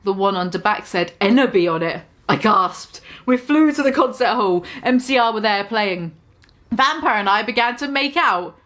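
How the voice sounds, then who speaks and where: A woman's voice: mid-range voice, animated, normal speed, fairly bright tone. A young woman giving a mock-dramatic reading of a fan story, swearing and breaking off to comment on the text. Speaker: reader.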